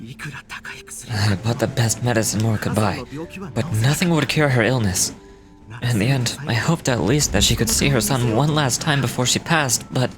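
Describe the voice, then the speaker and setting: A man's voice: mid-range voice, lightly acted. A man voicing a bitter, self-justifying researcher in a video game, telling his story dramatically, like a scorned impostor. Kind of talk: scripted video game voice acting. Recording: music behind the voice.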